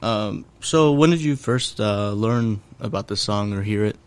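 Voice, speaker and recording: A man interviewing a guest, asking relaxed questions with hesitant fillers. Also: on the low side, unhurried, smooth. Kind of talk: casual interview.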